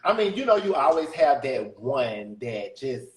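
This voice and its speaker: A deep-voiced man talks candidly and openly, sitting at a middle pitch. He laughs easily as he chats. The room around him is echoey. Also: sing-song, a little slow. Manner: candid, chatty, laughs often. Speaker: guest musician. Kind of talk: casual interview chat.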